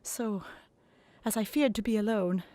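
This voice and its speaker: A woman narrating a gothic novel in the first person, expressive and dramatic, dropping to whispers in the hushed passages. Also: medium pitch, a little slow, no echo.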